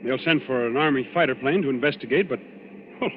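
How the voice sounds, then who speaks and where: A man's voice: medium pitch, fast, close to an everyday voice. An actor playing an earnest, alert young man in a 1940s radio thriller. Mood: grave, pressing. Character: earnest, alert young male airline passenger and navigator. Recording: muffled, noisy old radio recording.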